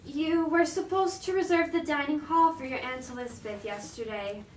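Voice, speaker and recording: Woman acting a flustered royal servant, high voice, deferential, hesitant, amateur stage comedy, distant echoey sound. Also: normal speed, natural voice. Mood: anxious, deferential. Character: flustered, deferential young female royal attendant. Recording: echoey room, distant mic, faint noise.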